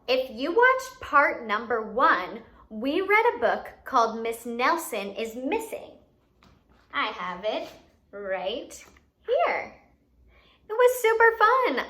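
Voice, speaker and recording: Bright, high-pitched woman teaching young children. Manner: enunciates for young children, asking them questions. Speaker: children's story time host and teacher. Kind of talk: children's educational activity, instructing.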